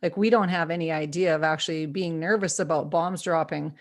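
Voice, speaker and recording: A woman's voice: low voice, fairly even intonation, a little fast. A woman from Canada hosting an interview, effusive and chatty. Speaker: interview host. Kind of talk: conversational interview.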